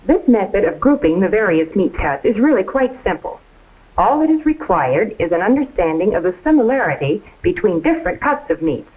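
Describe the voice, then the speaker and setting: A woman's voice: mid-range voice, big pitch swings, brisk, husky, phone-quality sound. A woman giving precise, step-by-step scripted instruction as a home economist in a 1950s training film. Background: music.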